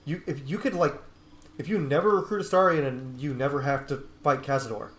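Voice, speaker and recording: A man's voice: on the high side, brisk, a bit bright. Blunt male gamer in a roomy echo. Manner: blunt, opinionated, sweary. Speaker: gaming streamer. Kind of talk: casual gameplay commentary. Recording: roomy echo, game music behind. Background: game music.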